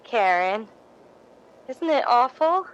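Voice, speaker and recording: A woman's voice: fairly high pitch, big pitch swings, slow pace, highs cut off, soft background music. A woman playing a meek, grateful, ingratiating young theatre hopeful in 1950s radio drama style, hesitant and earnest. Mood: humble, eager.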